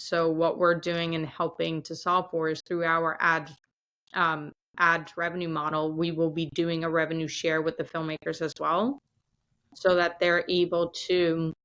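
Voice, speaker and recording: Founder guest: woman, lowish even voice, bright edge, steady with ums. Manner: measured, uses frequent um fillers. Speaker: startup founder and CEO, interview guest. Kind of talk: conversational interview answers.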